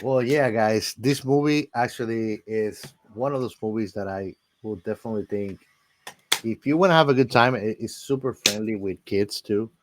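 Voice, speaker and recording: A man's voice: lowish voice, normal speed, slight background noise. A man chatting casually as a podcast co-host, very informal and often tagging his phrases with "man". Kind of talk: casual film podcast chat.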